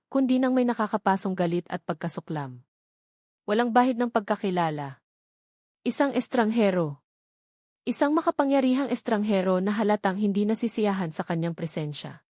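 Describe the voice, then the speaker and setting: A Filipino woman narrates a romantic audio drama in a scripted, dramatic style. Her voice sits at an average pitch for a woman, recorded close and dry, with hardly any room sound. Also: a little mellow, dry recording.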